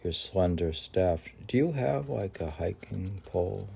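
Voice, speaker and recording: Man, very low creaky voice, unhurried delivery, poetry reading, rambling musing asides. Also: expressive, warm tone. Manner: musing, conversational, drifting between recitation and asides. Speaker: poetry reader.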